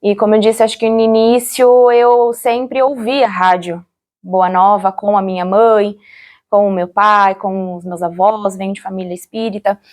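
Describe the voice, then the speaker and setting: A Brazilian woman giving an interview, recalling her experience in a reflective, halting way, searching for words and often checking for agreement. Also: mid-range voice, flat delivery, darkish tone. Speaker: interviewee, former radio drama actor. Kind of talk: interview, personal recollection.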